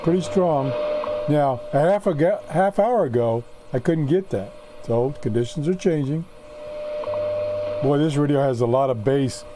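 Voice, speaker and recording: A man hosting a casual hobby radio show from his workshop, talking off the cuff in a halting, matter-of-fact way with pauses mid-sentence. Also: a little high, noisy background. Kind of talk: casual unscripted radio demonstration. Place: home workshop.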